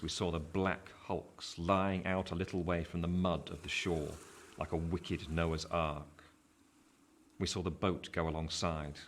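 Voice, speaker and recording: Man acting a low-voiced narrator recalling boyhood. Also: natural voice. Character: grown man soberly recalling his boyhood. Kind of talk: scripted first-person narration in a radio play. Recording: noisy background ambience.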